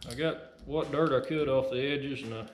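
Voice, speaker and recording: Middle-aged tradesman, casual self-deprecating ramble, ordinary pitch, body-mounted camera mic in a noisy workshop. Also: moderate pace. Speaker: excavation business owner. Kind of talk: casual talk while working. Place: metal workshop, indoors.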